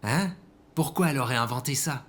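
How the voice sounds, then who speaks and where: A man's voice: mid-range voice, brisk, a bit bright, natural voice. An actor playing a hapless, immature man in an audio comedy-drama, naive, defensive and hesitant. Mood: defensive, sheepish.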